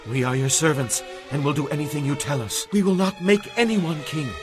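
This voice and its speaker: A man's voice: on the high side, animated, fairly quick, music in the background, natural voice. An actor playing a frightened, submissive city official in a dramatized audio Bible, pleading as he speaks. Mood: submissive, anxious.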